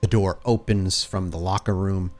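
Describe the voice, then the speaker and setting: A man narrating a tabletop horror game as game master, cinematic, vivid and deliberate. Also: sits low, steady tone, darkish tone. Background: music.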